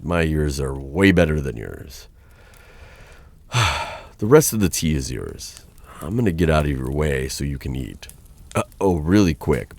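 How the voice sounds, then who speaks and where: A very deep, close and dry male vampire voice, acted by a man for an ASMR roleplay. He is a dangerous captor with an awkward kindness, easily flustered and prone to stammering. His intonation rises and falls in a lively way as he talks to his human. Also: vocal fry, dry recording, exaggerated voice. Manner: hesitant, stammering, conversational.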